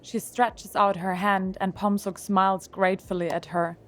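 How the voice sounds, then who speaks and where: A woman narrates an audio drama at a middle pitch. Her reading is calm and even. She keeps a steady scripted tone. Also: normal speed, a little hiss or hum.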